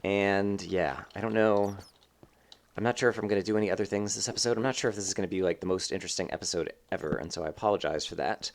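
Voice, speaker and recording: A man with a somewhat low voice talks through his gameplay in a loose, rambling way, filling pauses with ums and likes. His voice sounds a little congested, as if he has a slight cold. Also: a little hiss or hum. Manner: rambling, thinking aloud with many fillers. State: slightly stuffed up. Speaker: let's play host. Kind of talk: casual gameplay commentary.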